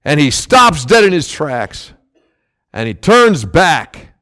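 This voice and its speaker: A man preaching with a loud, big-mouthed delivery, his high voice leaping all over the place. He pushes phrases hard, then pulls back to quieter asides. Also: very animated, slow pace. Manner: loud, emphatic preaching with sudden swells and drops. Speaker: pastor. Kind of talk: sermon.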